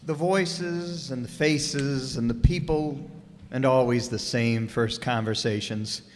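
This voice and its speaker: A man's voice: medium pitch, slow, slight background noise. A man delivering dramatic scripted narration for a radio play. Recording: echoey hall, music behind.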